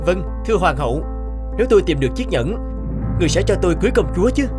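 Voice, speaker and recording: A brave young cartoon prince, speaks quickly in a fairly high male voice. He is earnest, polite and determined. Clear music plays behind him. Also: big pitch swings. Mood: polite, eager. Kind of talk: animated fairy-tale dubbing.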